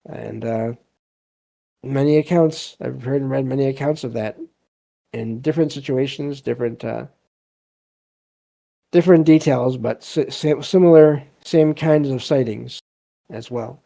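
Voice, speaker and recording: A man hosting a podcast, reading stories aloud and commenting casually in a halting, reflective way. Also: mid-range voice, creaky, dark tone. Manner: halting, hesitant, reflective delivery. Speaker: podcast host.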